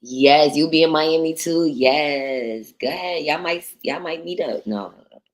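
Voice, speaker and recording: Deep-voiced blunt woman livestream host. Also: a little slow. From New York. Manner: blunt, profane and outspoken. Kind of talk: casual livestream chat reading viewer comments.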